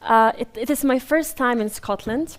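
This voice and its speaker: Polish woman, conference speaker, higher-pitched, friendly rambling delivery with restarts. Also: normal speed. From Poland. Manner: chatty and apologetic, with frequent fillers and restarts. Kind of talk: live conference presentation. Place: conference room with a live audience. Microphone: handheld or stage microphone while moving around.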